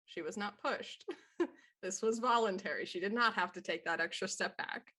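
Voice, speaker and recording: A woman's voice: medium pitch, moderate pace, sharp tone, breathy edge. A woman who works as a voice actor, talking casually in a panel chat.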